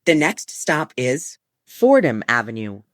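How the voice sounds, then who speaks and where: A woman reading scripted automated train announcements in measured, formulaic phrases. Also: very deep voice, sing-song, no echo, studio quality. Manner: formulaic, measured delivery of short set phrases.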